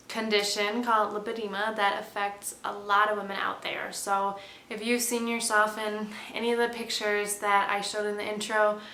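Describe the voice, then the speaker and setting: A woman's voice: mid-range voice, pushed and bright. Young female vlogger, earnest talk-to-camera explainer; roomy echo, faint hiss behind. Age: 20s. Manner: earnest, explanatory, talks straight to viewers. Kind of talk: personal explainer video.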